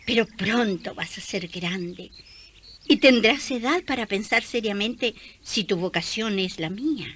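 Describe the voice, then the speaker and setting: A young missionary nun in a radio play. Her voice is high and swings with feeling. She is gentle, cheerful and affectionate, over clear background noise. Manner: affectionate, giggly, expressive. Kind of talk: radio drama acting.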